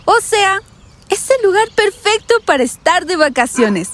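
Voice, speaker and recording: A breezy, skeptical female vacationer, acted by a woman in an audio drama, with a high voice that swings widely. She is colloquial and sassy, quick to get annoyed, and takes her time over the words, with music playing underneath. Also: very animated, fairly bright tone, heavily acted. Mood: relaxed, carefree. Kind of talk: scripted audio drama acting.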